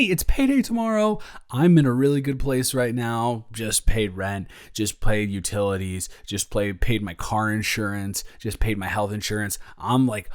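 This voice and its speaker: A young man playing a caring, playful roommate with a crush in an improvised audio roleplay, rambling and halting in a casual, teasing way. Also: mid-range voice, no room sound, studio quality. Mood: playful, excited.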